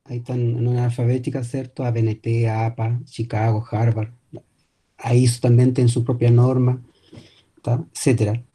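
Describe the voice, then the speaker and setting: Mellow-voiced Chilean man lecturing. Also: mid-range voice, flat delivery, normal speed, rough recording. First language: Spanish. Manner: hesitant, many fillers and restarts, Spanish-tinged phrasing. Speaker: guest lecturer. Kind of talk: online academic presentation. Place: home, video call. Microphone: video call.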